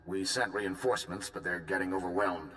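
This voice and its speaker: A man's voice: sits low, fairly even intonation, fairly quick, fairly bright tone, slight background noise, low-quality recording. An actor playing a male supreme commander giving a formal, urgent mission briefing in a video game cutscene. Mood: earnest. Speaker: military commander.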